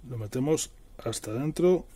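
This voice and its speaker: Husky, dark-voiced man explaining. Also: mid-range voice, expressive, moderate pace. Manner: plain, step-by-step explaining with everyday diminutives. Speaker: presenter of a DIY tutorial. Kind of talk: casual hands-on how-to narration. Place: indoors at a worktable.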